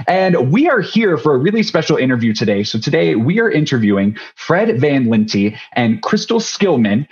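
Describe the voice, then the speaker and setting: A man's voice: medium pitch, sing-song, moderate pace, muffled recording. A man, hosting a casual interview over a video call. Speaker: host. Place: small home room, video call.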